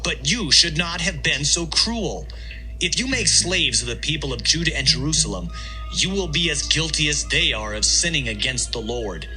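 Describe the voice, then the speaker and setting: An actor playing a stern, righteous prophet rebuking an army in a declamatory, admonishing manner for an audio Bible drama. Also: male voice, on the high side, lively intonation, moderate pace, bright, forceful tone, soft background music, poor audio. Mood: stern warning.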